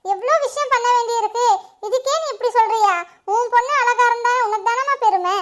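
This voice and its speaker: A woman's voice: very high voice, a little fast, fairly bright tone. A woman playing a vain, playful young daughter who chatters and giggles about her makeup. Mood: chatty. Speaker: daughter in a family skit.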